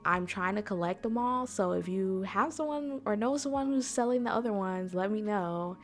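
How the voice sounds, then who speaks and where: Woman presenting informally over soft music. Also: medium pitch, expressive, normal speed. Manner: chatty, conversational, direct address to listeners. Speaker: convention panel host. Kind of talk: informal presentation, explainer talk.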